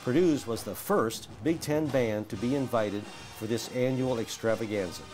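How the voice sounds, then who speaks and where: A man's voice: medium pitch, moderate pace. Male newsreel narrator over prominent music. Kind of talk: scripted narration.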